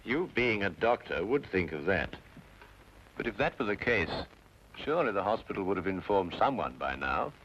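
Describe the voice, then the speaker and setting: A lively British detective on a dull, hissy old radio recording. He is precise and assured, reasoning drily aloud in a Victorian London drama, his pitch moving freely as he makes each point. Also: moderate pace. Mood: analytical. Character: brisk, brilliant, coolly logical male Victorian London detective.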